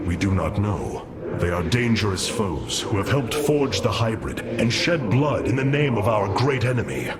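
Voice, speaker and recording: A man's voice: on the low side, very noisy. A man playing a noble, resolute alien war leader in a game, speaking formally with grave command. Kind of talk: scripted game cutscene dialogue.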